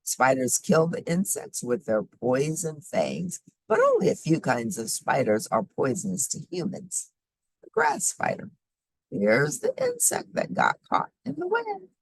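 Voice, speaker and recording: A woman reading a children's nonfiction book aloud in a deliberate, explanatory way, emphasizing key words. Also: deep voice, huge pitch swings, gravelly, breathy edge, no room sound, poor audio. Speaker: host reading children's science books aloud.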